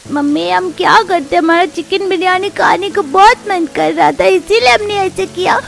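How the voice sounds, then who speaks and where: A woman's voice: very high voice, normal speed, vocal fry. A woman voicing an insistent young village child in a cartoon story. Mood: explaining.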